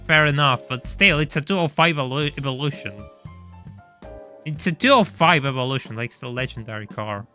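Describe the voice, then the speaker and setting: Bright-voiced man streaming a game. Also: mid-range voice, brisk, soft background music. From Argentina. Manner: chatty, laughs often, stammers and repeats words. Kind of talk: casual live-stream chat and gameplay commentary.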